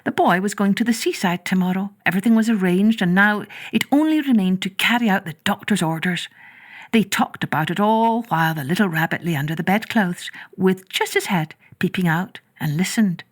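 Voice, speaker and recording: Expressive woman storyteller, mid-pitched. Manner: measured, expressive storytelling with drawn-out words. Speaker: storyteller, podcast host. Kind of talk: scripted children's story narration.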